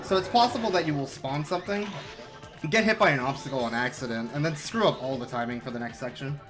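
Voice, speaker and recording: Man, casual speedrun commentary, mid-pitched, rambling, self-mocking, faint background music. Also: quick pace, fairly bright tone. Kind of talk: casual live gameplay commentary.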